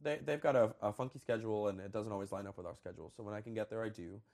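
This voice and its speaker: A young man from Colombia, an orchestra director, chatting in an interview, animated and laughing often. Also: on the low side, racing. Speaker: high school orchestra director. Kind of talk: conversational interview.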